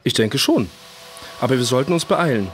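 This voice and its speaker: An actor playing a calm but worried father in a children's audio drama. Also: mid-range voice, moderate pace, faint music behind, natural voice. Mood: calm, concerned.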